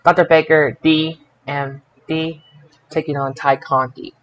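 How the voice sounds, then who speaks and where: Guy hosting a casual wrestling results chat, kinda high voice, trips over names, noisy background. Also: little pitch movement, measured pace, fairly bright tone, lo-fi sound. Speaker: podcast host. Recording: clear background noise.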